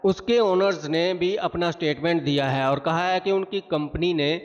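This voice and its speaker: A man reading a scripted documentary-style explainer in a formal, measured way. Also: on the high side. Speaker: narrator of an explainer video. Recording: muffled, long reverb on voice.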